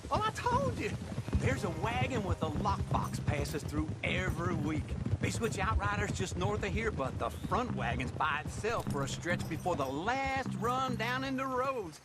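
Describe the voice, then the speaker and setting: An actor playing a wheedling, cowardly old American outlaw in a video game, theatrical and boastful, with stammers. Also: male voice, high voice, sing-song, moderate pace, very noisy. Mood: defensive, boastful. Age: older. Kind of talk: video game cutscene acting.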